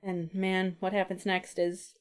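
A woman's voice: fairly low pitch, monotone, measured pace, clean tone. A woman co-hosting a podcast, recapping a comic book in casual, conversational talk. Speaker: podcast co-host.

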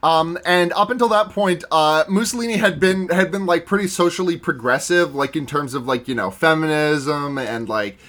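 Casting: male podcast co-host, high voice, rambling comedic delivery with frequent restarts. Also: normal speed, few pauses, a bit bright. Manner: rambling, many restarts, laughs often. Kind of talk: casual comedic chat.

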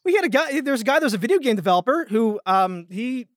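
A man's voice: extremely high pitch, very animated, quick pace. A man talks casually as a guest voice actor on a podcast. His delivery rambles and halts, with repeated words, and the sound is dry with no room echo. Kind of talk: casual chat.

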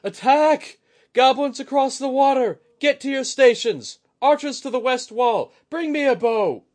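Guy doing a soldier yelling battle orders, voice pushed way up high, thundering, audiobook fantasy. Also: lively intonation, measured pace. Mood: urgent, commanding. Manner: shouting, thundering. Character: commanding male soldier bellowing battle orders.

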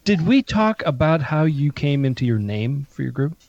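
A man's voice: on the high side, big pitch swings, normal speed, gravelly, darkish tone, no echo, dull audio. A man co-hosting a podcast, chatting casually with rambling asides. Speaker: podcast co-host. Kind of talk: casual banter.

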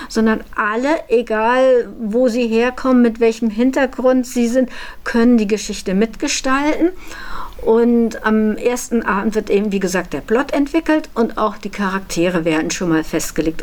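A woman with a slightly high voice talks in an explanatory interview manner. She sounds like an organizer describing how a workshop runs.

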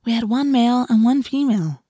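A woman voicing a gentle, patient, reasonable teenage girl who chides softly in an audiobook. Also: medium pitch, a little fast, dry recording, clean studio sound, lightly acted. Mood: patient, chiding. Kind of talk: audiobook character voice.

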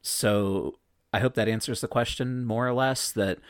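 A man's voice: on the low side, fairly even intonation, unhurried, no echo. Guy player chatting, dry sound, old-school opinions, pokes fun at himself. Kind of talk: casual podcast chat. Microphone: video call.

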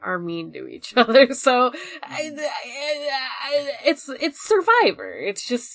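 A woman's voice: high voice, wildly swooping pitch, takes it slowly, gravelly, a bit bright, no room sound. Giggly woman chatting casually, close dry sound. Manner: giggly, rambling, sweary. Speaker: podcast co-host. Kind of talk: casual banter.

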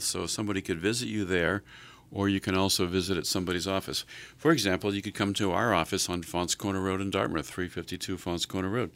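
A low-pitched man hosts an interview show. He sums up what his guest has said and follows it with leading questions. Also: a bit bright. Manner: restates and asks leading questions. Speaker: show host.

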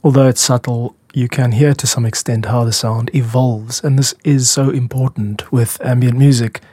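Man, husky voice, dry sound, music production commentary, reflective, conversational host. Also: medium pitch, steady tone, normal speed, warm tone, no room sound. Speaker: music podcast host and composer.

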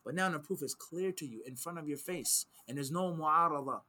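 A man teaching religious principles in a sit-down conversation, his voice pitched a little high and pushed with a tense, forceful edge. He leans on key phrases, repeating them for weight, and weaves in Arabic quotations as he explains. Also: fairly quick. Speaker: Islamic teacher answering questions.

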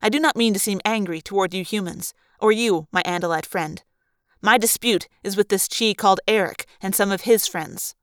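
A woman's voice: mid-range voice, moderate pace, dry recording, clean studio sound, close to an everyday voice. A woman voicing a stern elderly female android who speaks firmly and with indignation. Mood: measured. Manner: firm, heated, indignant.

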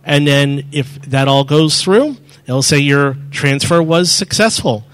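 A man's voice: fairly high pitch, a little slow, clean tone. A man presenting to an audience in a meeting room, explaining step by step on a handheld mic and often correcting himself as he goes. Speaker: presenter answering audience questions. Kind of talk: live demo talk at a meeting.

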